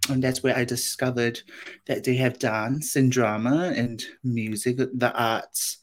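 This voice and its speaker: A woman's voice: very deep voice, steady tone, unhurried. A woman from Samoa, in her late twenties, chats as a guest on a podcast. She is bubbly and playful, laughs easily, and talks about her own life in an easygoing way. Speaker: actress and guest. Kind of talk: interview chat.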